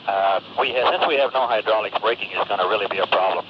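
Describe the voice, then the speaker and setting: Fast-talking male pilot on radio. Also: on the low side. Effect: radio transmission. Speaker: airline pilot. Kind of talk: cockpit radio communication. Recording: muffled, noisy cockpit radio recording.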